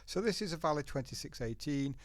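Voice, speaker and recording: A man's voice: fairly high pitch, expressive, fairly quick, no room sound. A man with a head cold and a blocked nose, hosting a live trading webinar and walking through charts step by step in a chatty way. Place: working from a laptop in a home setting. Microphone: laptop.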